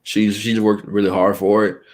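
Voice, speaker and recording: A young man with a somewhat low voice, speaking as a guest in a relaxed interview. He talks in halting stretches, full of fillers and restarts, as he works out what he means. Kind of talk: casual conversational interview.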